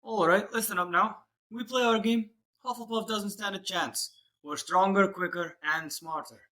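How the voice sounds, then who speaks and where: A woman's voice: medium pitch, moderate pace, fairly bright tone, lightly acted. A woman playing a confident, emphatic teenage sports captain in an audio drama. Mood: rallying. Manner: emphatic pep talk. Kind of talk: fan audio drama acting.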